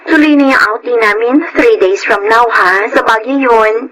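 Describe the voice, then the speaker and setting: A woman's voice: fairly high pitch, moderate pace, over music, natural voice, poor audio. A woman playing a whiny, selfish, haughty young girlfriend in a Filipino radio drama. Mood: whiny, demanding.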